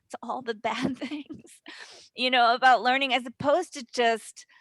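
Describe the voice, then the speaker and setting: A woman, a voice and dialect coach, explaining her method as a guest on a webinar, in animated, rapid talk with quick asides. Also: sits high, no echo, low-quality recording. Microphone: webinar call mic.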